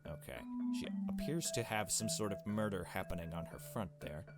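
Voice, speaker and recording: A man's voice: low-pitched, lively intonation, fairly quick, over loud music. A man reading a visual novel aloud as he plays, narrating casually with off-the-cuff asides.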